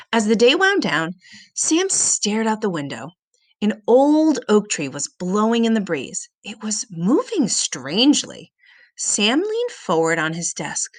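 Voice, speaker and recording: A woman reading a children's book aloud as a storyteller, with expressive, dramatic and suspenseful delivery. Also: mid-range voice, dry recording.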